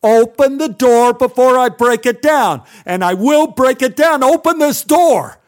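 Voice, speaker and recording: A man voicing a volatile, menacing male neighbor who screams and shouts. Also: a little high, sing-song, slow, slightly rough, heavily acted. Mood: furious screaming.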